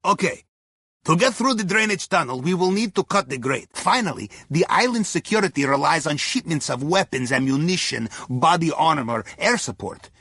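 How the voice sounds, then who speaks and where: An actor playing a jovial, wily Russian heist planner in a video game, husky-voiced, with a Russian accent and theatrical, clipped briefing lines. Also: male voice, on the high side, animated, moderate pace, a bit bright, no room sound, clean studio sound, heavily acted. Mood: brisk, conspiratorial. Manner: theatrical, clipped phrasing with dropped articles. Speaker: mission guide in a video game. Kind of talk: video game character dialogue, mission briefing.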